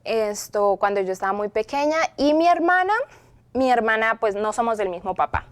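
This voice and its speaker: A young Colombian woman from Arauca, with a voice pitched a little high. She talks in a chatty, cheerful way and laughs easily. She first prays, then chats casually as a podcast guest. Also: expressive, normal speed, clear, resonant tone. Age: 20s. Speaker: podcast guest, former seminary student. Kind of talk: opening prayer, then casual interview chat.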